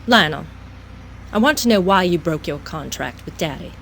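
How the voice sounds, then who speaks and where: A woman's voice: lowish voice, expressive, moderate pace, a bit bright, a little hiss or hum. An actress playing a proud, wounded young woman in an audio drama, speaking in a curt, tense way. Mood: indignant.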